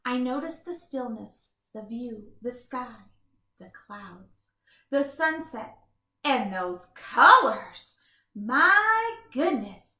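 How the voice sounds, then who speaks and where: A woman reading a children's picture book aloud in an expressive, animated way with dramatic pauses. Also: on the high side, echoey room. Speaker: storybook reader.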